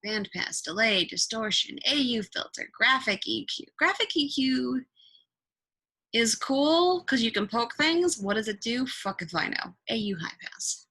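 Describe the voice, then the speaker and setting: A woman giving a casual, improvised software tutorial, thinking out loud and swearing offhandedly as she goes. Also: a little high, animated, a little slow, husky. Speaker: tutorial presenter.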